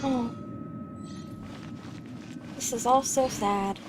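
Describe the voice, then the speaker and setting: A woman's voice: fairly high pitch, very slow, very noisy. A woman gamer thinking aloud in a casual, slangy way as she reacts to a playthrough. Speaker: game streamer.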